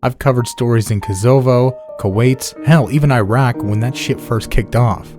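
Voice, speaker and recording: A man playing a cocky, loudmouthed veteran newspaper chief in an audio drama, brash, sardonic and dismissive. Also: mid-range voice, normal speed, natural voice. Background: music bed.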